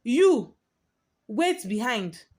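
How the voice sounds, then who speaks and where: A woman's voice: on the high side, very animated, drawn-out words, darkish tone, exaggerated voice. A woman voicing a bullying teenage senior prefect, domineering and sly, in a story told aloud. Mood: barking. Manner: barking, commanding, turning sickly sweet.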